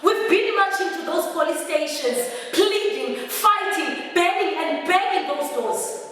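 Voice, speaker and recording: Woman acting anguished South African daughter, slow and echoey. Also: very high voice, lively intonation, fairly bright tone, exaggerated voice. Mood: impassioned, defiant. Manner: declamatory, deliberate, repeating phrases with rising emphasis. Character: anguished young daughter protesting government failure, addressing her people. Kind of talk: dramatic stage monologue. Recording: very echoey hall, distant mic, faint music.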